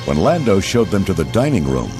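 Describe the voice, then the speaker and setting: A man's voice: low voice, very animated, a little fast. A man reading scripted, dramatic narration for a children's readalong storybook. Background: orchestral music.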